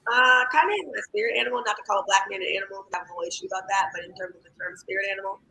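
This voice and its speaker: A singer and songwriter with a raspy, scratchy voice, chatting candidly as an interview guest, rambling with many fillers and restarts. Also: medium pitch, very fast, sharp tone. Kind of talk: casual interview chat.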